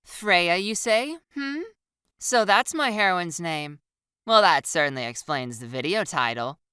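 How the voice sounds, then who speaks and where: A woman's voice: on the low side, expressive, measured pace, pushed and bright, clean tone, no echo, studio quality. A woman voicing a playful, pleading girl in an audiobook, with a theatrical, teasing, slangy way of talking. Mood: mock-gallant. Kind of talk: audiobook character dialogue.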